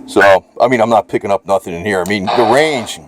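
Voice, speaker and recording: A man's voice: lowish voice, fairly quick, over music. A man casually reviewing gear in a rambling, colloquial way, full of informal slang, recorded indoors at home. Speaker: video creator.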